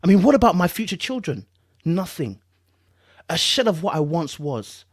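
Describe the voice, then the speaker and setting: A man's voice: high voice, big pitch swings, moderate pace, no room sound, clean studio sound. A man giving a mock dramatic reading as a wounded, self-important man, theatrical, pompous and emphatic. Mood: impassioned.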